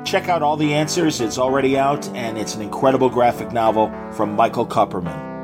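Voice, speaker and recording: A man's voice: mid-range voice, a little fast, music in the background. An American man hosting a podcast in a casual, chatty style, talking enthusiastically and in a rambling way. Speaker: podcast host. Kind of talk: casual interview and chatty sponsor reads.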